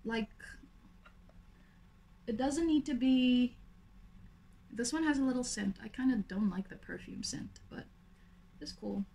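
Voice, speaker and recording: Chatty woman, fairly high voice. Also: measured pace, gravelly, long pauses, dark tone, a little hiss or hum. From South Africa. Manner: chatty, upbeat, talks while applying makeup. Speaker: beauty vlogger. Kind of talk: casual makeup tutorial. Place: small indoor room with pink wall.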